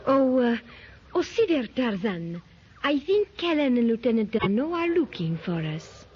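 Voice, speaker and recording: An evasive young woman, breathy and hesitant, faint hiss on lo-fi old radio. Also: a little high, sing-song, measured pace. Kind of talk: 1930s radio drama acting. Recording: dull lo-fi 1930s radio recording with faint hiss.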